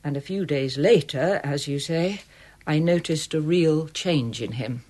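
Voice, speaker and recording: An actress playing a chatty young museum clerk in a radio drama, with an eager manner. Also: female voice, deep voice, measured pace.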